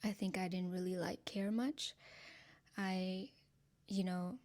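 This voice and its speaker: A woman from Nepal in her twenties, a native Nepali speaker, softly and earnestly sharing her personal testimony with her channel's viewers, with frequent ums and light laughs. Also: fairly low pitch, fairly even intonation, slow.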